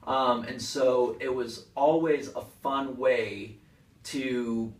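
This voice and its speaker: A man who performs on stage answers interview questions casually, explaining things simply in a halting, conversational way. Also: mid-range voice, steady tone. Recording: echoey room, far from the mic.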